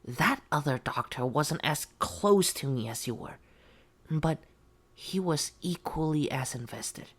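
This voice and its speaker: A woman's voice: sits low, expressive, a little slow, husky, full character voice. A woman playing a gullible, eerily devoted test subject in a horror audio roleplay, pleading yet menacing with false sweetness. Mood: earnest, unsettling.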